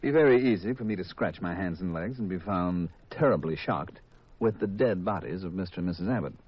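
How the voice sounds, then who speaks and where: An American actor playing a coolly menacing, hard-boiled killer in a deliberate, mock-polite manner in a 1950s radio mystery. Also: male voice, low-pitched, animated, breathy edge. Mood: cold gloating. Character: cold, confessing killer, male insurance man, coolly menacing. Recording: muffled, dull 1950s radio recording.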